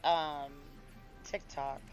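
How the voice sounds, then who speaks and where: A woman streamer with a hoarse voice, chatting casually with viewers and a co-player while gaming. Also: low voice, little pitch movement, extremely slow, soft background music, poor audio. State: hoarse, recovering lost voice.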